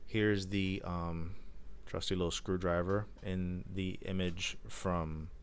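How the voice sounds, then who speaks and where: A man's voice: deep voice, fairly even intonation, takes it slowly, a little hiss or hum. A man hosting a live stream in a casual, unscripted way, rambling loosely as he shows gear. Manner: rambling, loose, informal phrasing. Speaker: live stream host reviewing music gear. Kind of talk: casual unscripted unboxing demo talk.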